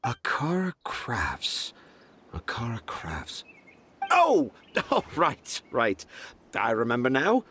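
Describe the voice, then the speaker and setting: Flamboyant, dreamy storyteller, animated voice. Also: male voice, medium pitch, slow pace, slight background noise, exaggerated voice. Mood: dreamy, evasive. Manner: theatrical, flowery, poetic. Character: flamboyant, dreamy, self-important male children's storyteller and father. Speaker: children's author character. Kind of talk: video game character acting. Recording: music behind the voice.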